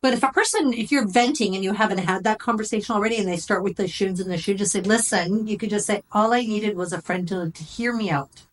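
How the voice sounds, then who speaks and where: A woman's voice: mid-range voice, fast talker, hardly any pauses, rough recording. A middle-aged woman counselor talking casually in an interview, chatty and repetitive, often saying "you know" and "right". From Greek family background. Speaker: therapist guest.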